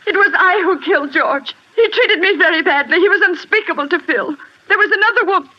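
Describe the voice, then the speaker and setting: Very high-pitched desperate mother. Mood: distraught. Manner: pleading, agitated, halting. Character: desperate, protective widowed mother falsely confessing to poisoning. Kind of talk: 1940s radio melodrama acting. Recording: muffled, faintly noisy old radio recording.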